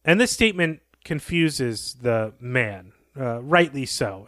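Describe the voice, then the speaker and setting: A man's voice: mid-range voice, a bit bright, no echo. A man co-hosting a podcast, retelling a story and commenting on it as he goes. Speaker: podcast co-host.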